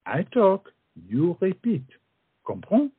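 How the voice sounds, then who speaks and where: An actor playing a witty French friend and mentor in a stage comedy, speaking in a flowery, coaxing, theatrical way. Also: male voice, high voice, huge pitch swings, slow pace, breathy edge, no echo, narrow, tinny audio, heavily acted. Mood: scheming, confident.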